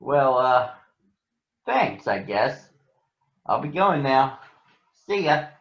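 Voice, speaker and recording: A man's voice: mid-range voice, very animated, slow pace. A man voicing an indignant, brave young boy bear cub in a children's storybook, earnest and protesting. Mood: confused, hesitant. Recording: roomy echo, dull lo-fi sound.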